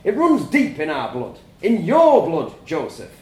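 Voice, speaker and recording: A man voicing a stern, domineering farmer father who lectures solemnly and erupts into furious shouting. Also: fairly high pitch, huge pitch swings, measured pace, reverberant, pitched above the performer's own voice.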